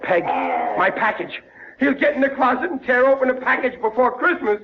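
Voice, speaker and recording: A man's voice: extremely high pitch, lively intonation, fairly quick. An actor playing a stammering, gullible, easily flustered working-class family man in a 1940s radio comedy. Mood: flustered, alarmed. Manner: stammering, exclaiming, exasperated. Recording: muffled, noisy 1940s radio recording.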